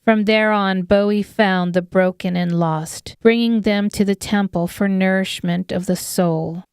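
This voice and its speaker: A woman's voice: mid-range voice, fairly even intonation, measured pace, darkish tone, clear, resonant tone, no room sound. A woman narrating a scripted fantasy audiobook as its storyteller.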